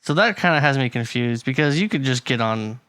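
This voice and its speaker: A man's voice: medium pitch, animated, a little fast, a bit bright, clean tone, no room sound. A man co-hosting a casual music podcast, chatting loosely, laughing often and reading passages aloud from websites. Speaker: podcast co-host. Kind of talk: casual chat.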